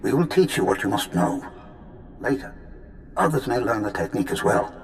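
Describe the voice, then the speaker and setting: A man voicing a patient, wise Jedi master spirit in a video game, measured, formal and reassuring. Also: lowish voice, very animated, echoey room, a little hiss or hum. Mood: reassuring, urgent.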